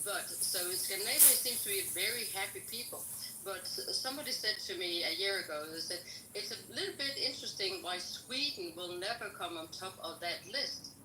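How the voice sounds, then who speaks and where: A woman's voice: medium pitch, animated, normal speed, background noise. A woman from Denmark with a Danish accent, telling wry, direct stories in a casual group discussion. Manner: wry, direct, teasing storyteller. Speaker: discussion participant. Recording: echoey room.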